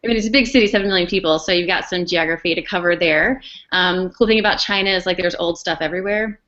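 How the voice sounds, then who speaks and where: A woman's voice: fairly low pitch, fast talker, fairly bright tone. A young woman speaking casually and with animation on a Q&A panel. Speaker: panelist, former English teacher. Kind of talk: Q&A panel talk. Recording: dull lo-fi recording.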